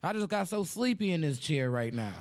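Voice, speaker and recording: Male radio host, raspy, slangy, joking, voice a touch high. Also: sing-song, brisk. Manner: joking, laughing often, slangy. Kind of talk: casual comedic radio banter.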